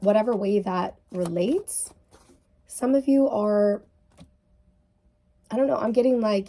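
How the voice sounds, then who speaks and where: A woman's voice: medium pitch, mellow. A woman giving a casual tarot reading in an earnest, intuitive way, her voice tired and sniffly. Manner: earnest, intuitive, many fillers like "like" and "um". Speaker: tarot reader.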